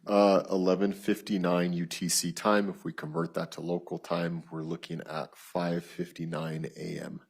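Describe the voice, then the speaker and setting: Deep, monotone, husky-voiced man testifying. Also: normal speed, hardly any pauses. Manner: flat, procedural, matter-of-fact. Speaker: lead detective testifying as witness. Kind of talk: courtroom testimony, reading messages aloud. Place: courtroom.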